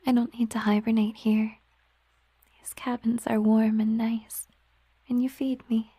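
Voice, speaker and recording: A woman plays a gentle siren girlfriend in an intimate ASMR roleplay. Her voice is a breathy, mellow whisper, slow and softly musing. It is recorded close and dry. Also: a little high, flat delivery, no echo. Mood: gentle, explaining. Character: gentle, affectionate female siren, a mermaid girlfriend. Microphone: close microphone, dry sound.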